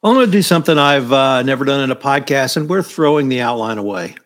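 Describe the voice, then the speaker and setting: A man's voice: medium pitch, expressive, quick pace, a bit bright. A man in his sixties hosting a podcast interview and asking questions in a friendly, conversational way. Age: 60s. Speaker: podcast host.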